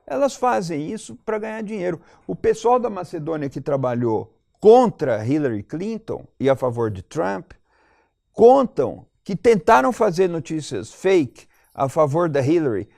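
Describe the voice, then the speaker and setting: A Brazilian man with a slightly high-set voice, recorded dry and close. He speaks as a debate guest, laying out an analysis in an articulate, explanatory way and restating key points for emphasis. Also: very animated, unhurried, gravelly, dark tone, no room sound. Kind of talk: analytical panel debate commentary.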